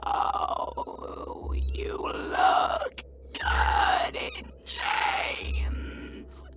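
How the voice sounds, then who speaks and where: A woman voicing a wailing banshee, a menacing harbinger of death with theatrical, drawn-out delivery in a fantasy audio drama. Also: very high-pitched, raised voice, music in the background, a crowd in the background, exaggerated voice. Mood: gloating menace. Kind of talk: fantasy audio roleplay acting.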